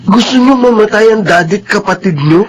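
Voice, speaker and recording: A humble driver, slightly high voice. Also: male voice, rough recording. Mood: shocked, reproachful. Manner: deferential, measured. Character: humble, honest family driver, polite but principled. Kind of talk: radio drama acting.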